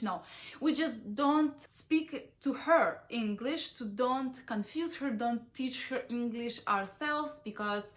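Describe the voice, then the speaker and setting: Chatty high-voiced woman. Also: fairly bright tone. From Ukraine. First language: Russian. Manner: chatty and explanatory, self-described talkative. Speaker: parenting vlogger. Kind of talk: casual talk to camera.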